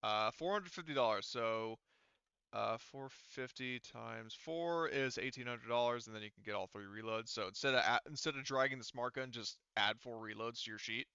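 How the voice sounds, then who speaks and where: A man's voice: medium pitch, normal speed, sharp tone, clear, resonant tone, dry recording. Man, game master type. Clean, forward voice, casual and hesitant explainer, close dry lo-fi mic. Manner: hesitant, thinking aloud while explaining. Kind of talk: casual online tabletop game talk.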